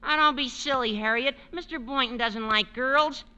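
An actor playing an earnest, gullible teenage boy with a squeaky adolescent voice in an old radio comedy. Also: moderate pace. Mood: earnest, disbelieving. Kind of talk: 1940s-50s radio comedy acting. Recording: old radio recording, heavy noise.

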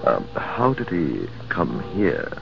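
Sad storyteller, really deep gravelly voice, slow, old radio with music. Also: male voice, wildly swooping pitch, lo-fi sound, exaggerated voice. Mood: somber. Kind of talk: 1940s radio acting.

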